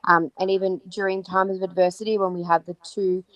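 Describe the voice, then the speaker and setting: An Australian woman co-hosting a podcast, her voice croaky from yelling, chatting enthusiastically with frequent ums and restarts. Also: lowish voice, little pitch movement, brisk, no echo, poor audio. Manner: enthusiastic, many fillers and restarts. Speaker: podcast co-host. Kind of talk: casual chat about football.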